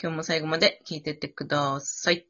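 A woman's voice: low voice, level tone, fairly quick, pushed and bright. A woman hosting a radio show, chatting casually in an after-show talk. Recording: dull lo-fi online audio.

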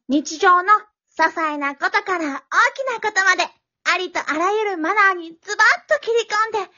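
A woman's voice: extremely high pitch, sharp tone, lo-fi sound, full character voice. An actress playing an eccentric female manners instructor who talks in an earnest, dramatic way and rambles to herself. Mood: poised. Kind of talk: comic amateur radio drama.

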